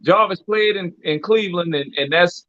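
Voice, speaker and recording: A man's voice: high-pitched, moderate pace, pushed and bright, dry recording, lo-fi sound. A man co-hosting a casual sports chat, chatty and agreeable. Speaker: podcast co-host.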